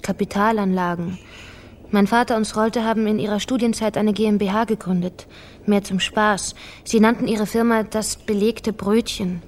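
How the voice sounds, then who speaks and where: A woman's voice: medium pitch, steady tone, normal speed, close to an everyday voice. An actress playing a guarded, resolute politician's daughter in a German radio crime drama, reserved and controlled in her delivery.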